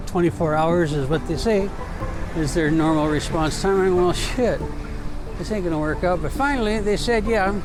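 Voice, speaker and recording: A man's voice: high-pitched, low-quality recording. A man chatting casually outdoors on a downtown street, telling rambling, colloquial stories as he walks along as a travel vlogger. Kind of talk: casual walk-and-talk vlog chat.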